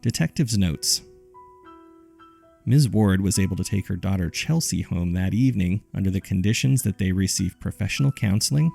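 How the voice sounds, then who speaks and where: Deep, gravelly man narrating horror. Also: dark tone. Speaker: host and narrator of a horror audio drama. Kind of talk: scripted narration, reading case files.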